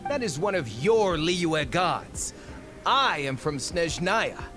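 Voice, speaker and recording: An actor playing a haughty, petulant villain in a video game, indignant and theatrical. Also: male voice, on the high side, expressive, takes it slowly, pushed and bright, soft background music, full character voice. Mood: defiant.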